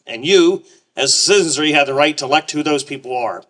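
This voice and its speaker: A man's voice: fairly high pitch, brisk, fairly bright tone. A man, a state legislator, giving a folksy, joking speech outdoors at a courthouse ceremony.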